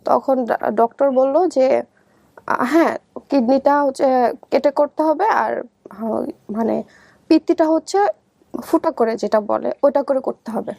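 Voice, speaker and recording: A young adult woman with a Bangladeshi accent telling her life story in conversation, halting and often repeating herself. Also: sits high, gravelly, dark tone, no echo.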